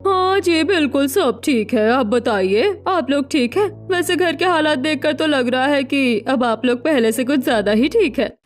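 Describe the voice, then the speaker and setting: A woman's voice: high-pitched, expressive, a little slow, few pauses. A woman voicing a scheming, suspicious middle-aged mother in a dramatized Hindi story. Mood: feigned politeness.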